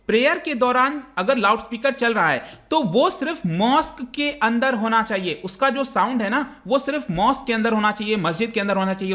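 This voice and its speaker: A man's voice: high-pitched, bright, forceful tone. A man with an Indian accent giving an explanatory current-affairs lecture as a teacher, conversational and step by step, mixing in English terms. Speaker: online exam-prep teacher.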